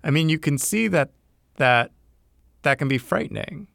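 A man hosting and narrating, asking earnest, probing questions in a measured, reflective way. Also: a little high, expressive, gravelly, fairly bright tone, no room sound, studio quality. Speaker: host and narrator. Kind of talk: reflective documentary narration and interviewing. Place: lives in a city neighborhood.

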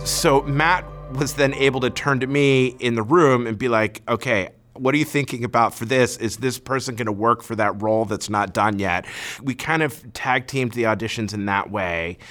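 Bright, forward-voiced man chatting about his work. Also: medium pitch, moderate pace, faint music behind. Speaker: playwright and theater director being interviewed. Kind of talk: casual anecdotal interview talk.

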